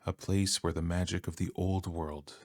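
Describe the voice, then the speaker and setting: A man with a deep, soft-spoken voice reads a bedtime story for ASMR. His delivery is soothing and measured, staying very even in tone. The recording is dry and close to the microphone. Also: no echo.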